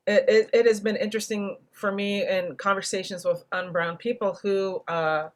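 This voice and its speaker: A woman hosting a panel discussion, speaking in a reflective, deliberate way and carefully spelling out what she means. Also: mid-range voice. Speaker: panel host and moderator.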